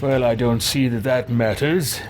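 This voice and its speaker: A man hosting a game playthrough, musing in character with hesitant pauses and hums. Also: medium pitch, steady tone, background noise. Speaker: let's play host. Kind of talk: in-character roleplay commentary over a video game.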